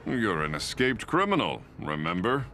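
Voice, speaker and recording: Bright, forceful upright superhero. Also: male voice, on the low side, very animated, moderate pace, soft background music. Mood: firm. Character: earnest, commanding, upright male superhero. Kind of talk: animated video game voice acting.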